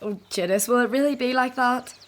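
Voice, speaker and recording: An actress playing a timid, anxious, admiring young woman in a Bible radio drama, with a gentle, hesitant manner. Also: a little high, fairly quick, natural voice.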